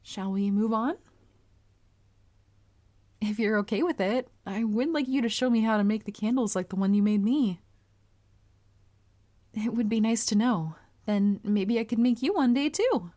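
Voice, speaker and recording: A woman playing a polite, earnest, bashful young anime heroine with a crush, speaking formally and gently gushing in a roleplay audio. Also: a little high, lively intonation, measured pace, pauses often. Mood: curious, earnest. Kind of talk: anime character roleplay audio, addressed to listener.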